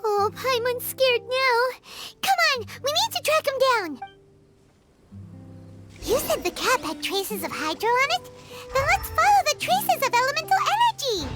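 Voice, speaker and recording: Squeaky, excitable little fairy companion. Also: female voice, unhurried, soft background music, full character voice. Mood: excited, curious. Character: tiny excitable childlike fairy companion, cheeky. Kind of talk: video game voice acting.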